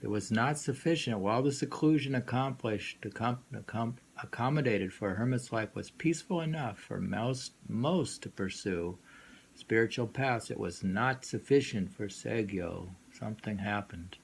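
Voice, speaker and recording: A man reading poetry aloud with casual commentary, halting and often repeating words and phrases. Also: on the low side, creaky. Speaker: reader and host. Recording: noisy homemade recording.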